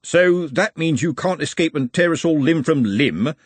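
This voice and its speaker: Man playing a big blunt guard, raised husky voice. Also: fairly high pitch, lively intonation, moderate pace, dry recording, pitched above the performer's own voice. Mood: wary, baffled. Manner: blunt, colloquial. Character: big, suspicious, touchy male guard, blunt and gruff.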